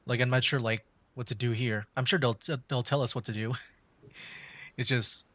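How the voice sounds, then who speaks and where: A man giving casual live gameplay commentary as a streamer, hesitant and thinking out loud. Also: mid-range voice, fairly even intonation, moderate pace, no room sound. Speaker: streamer playing a game.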